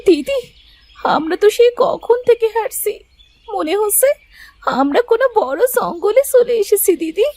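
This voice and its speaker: A woman's voice: very high-pitched, lively intonation, moderate pace, a little mellow, dry recording. A woman voicing a timid little orphan girl in a cartoon, plaintive and childlike in her pleading. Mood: meek. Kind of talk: Bengali cartoon voice acting.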